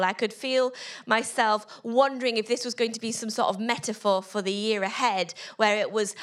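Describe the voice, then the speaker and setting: A woman's voice: mid-range voice, fairly bright tone. A middle-aged woman giving an inspirational talk on stage to a live audience through a handheld mic, telling a story with frequent laughter. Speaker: conference speaker.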